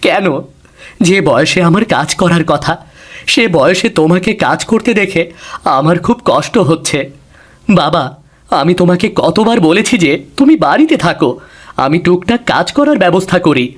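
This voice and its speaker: A man's voice: sits high, animated, unhurried. A man voicing a humble, dutiful young man in a cartoon, plaintive and earnest. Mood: sorrowful, pleading.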